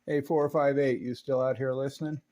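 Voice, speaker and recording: A man's voice: medium pitch, fairly even intonation, a little fast, warm tone, a little hiss or hum. An American man giving an informal, hands-on repair walkthrough as a technician, using technical hobbyist jargon. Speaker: radio technician and collector presenting restoration.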